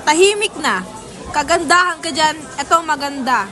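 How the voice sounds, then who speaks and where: A young Filipino woman playing a brisk, bossy, scolding high school teacher in an amateur radio drama. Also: animated, music in the background. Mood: stern, brisk. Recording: crowd chatter behind the voice.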